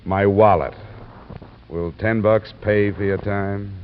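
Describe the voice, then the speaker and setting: A man's voice: lowish voice, steady tone, slow pace, slight background noise. An American actor playing a cool, hard-boiled male investigator in a 1950s radio drama, clipped and matter-of-fact. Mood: firm. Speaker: lead actor and narrator. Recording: dull vintage radio recording, music behind.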